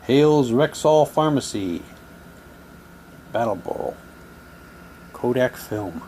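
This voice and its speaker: A man's voice: mid-range voice, lively intonation, takes it slowly, warm tone. Man in casual unboxing talk, noisy recording. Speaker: hobby vlogger unboxing audio drama sets. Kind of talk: casual unboxing talk, reading printed props aloud.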